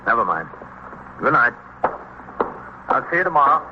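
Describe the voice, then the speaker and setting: A man's voice: medium pitch, sing-song, moderate pace, natural voice. An American man playing a weary, commanding New York police captain in a 1950s radio drama. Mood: curt. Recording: dull, hissy vintage radio recording.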